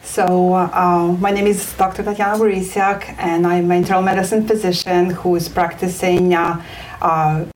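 A woman's voice: lowish voice, little pitch movement, normal speed. A woman from Ukraine with a Ukrainian accent, a physician guest speaking hesitantly with many fillers in a studio interview. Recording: distant mic with faint noise.

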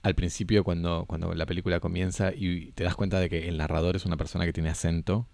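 A man talking casually about film, thinking aloud with many pauses and restarts. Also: deep voice, little pitch movement, gravelly, darkish tone, dry recording. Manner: thoughtful, halting, many restarts.

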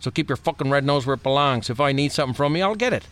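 A man's voice: medium pitch, animated, very fast, fairly bright tone, close to an everyday voice. An actor playing a foul-mouthed, menacing, self-important male senior government official in a satirical audio drama, with a blustering, folksy, bullying manner.

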